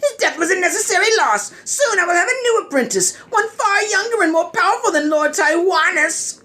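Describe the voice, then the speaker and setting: Very high-pitched dark lord villain. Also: male voice, animated, a little fast, a little hiss or hum, full character voice. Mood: cold scheming. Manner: commanding, calculating. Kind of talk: parody audio play.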